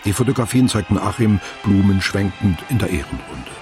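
A man narrating a literary radio play as a sober, observant storyteller with a detached, deliberate delivery. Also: lowish voice, expressive, music in the background, lightly acted.

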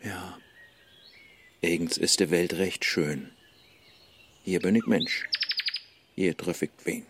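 An actor playing the restless scholar Faust in a Low German verse radio play, declaiming rhymes in a Low German accent. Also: male voice, fairly even intonation, takes it slowly, vocal fry, pauses often, background noise, exaggerated voice. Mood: wistful. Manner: rhyming declamation, swinging from gloom to fervour.

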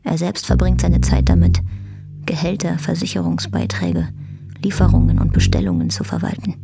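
A woman's voice: a bass voice, huge pitch swings, normal speed, gravelly. A woman reading scripted narration for a radio drama. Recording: guitar music behind the voice. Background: guitar music.

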